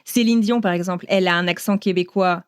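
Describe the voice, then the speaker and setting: A woman's voice: on the low side, steady tone, clear, resonant tone, no room sound. Curious woman host, dry close sound. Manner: curious, quick questions. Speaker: podcast host. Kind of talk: casual interview chat.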